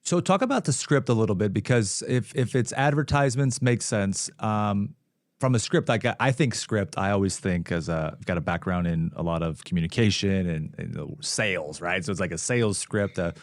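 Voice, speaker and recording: A man's voice: lowish voice, expressive, normal speed, dry recording, clean studio sound. A man hosting a podcast, asking casual, roundabout questions and offering frequent affirmations. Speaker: podcast host.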